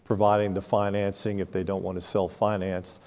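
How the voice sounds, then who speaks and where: A low, gravelly man speaks as a panelist, frank and measured, walking through examples. His tone is dark and mellow. The sound carries the long reverb of a conference hall. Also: flat delivery. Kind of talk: unscripted panel discussion.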